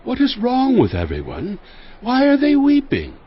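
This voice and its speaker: An actor playing an earnest, fiery young man in an audio Bible drama, husky and emphatic. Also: very high-pitched, huge pitch swings, moderate pace, heavily acted. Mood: concerned. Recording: dry, slightly muffled.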